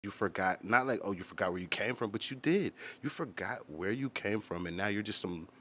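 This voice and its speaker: A man's voice: fairly low pitch, fairly quick, fairly bright tone, a little hiss or hum. A man hosting a channel, telling stories casually and bluntly, with plenty of slang and swearing. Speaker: host of a music storytelling channel. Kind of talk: casual, profane storytelling to viewers.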